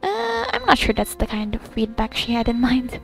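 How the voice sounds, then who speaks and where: A woman's voice: on the high side, very animated, moderate pace, vocal fry. A woman gamer giving casual, giggly commentary on a story game, reading bits aloud as she reacts. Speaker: gamer recording a story quest playthrough. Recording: game music behind the voice.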